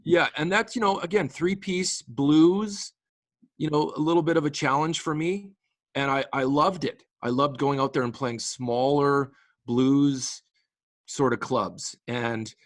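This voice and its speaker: A man's voice: on the high side, unhurried, no room sound, clean studio sound. A man in his late forties from the Canadian prairies, chatting as a guest musician in a casual interview, enthusiastic and rambling with frequent restarts. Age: late 40s.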